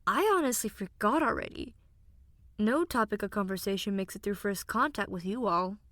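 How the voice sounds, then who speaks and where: A woman voicing a sensible, wry young illusionist student in an audio drama, measured and gently chiding. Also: mid-range voice, no echo, close to an everyday voice. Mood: mildly dry. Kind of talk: audio drama character banter.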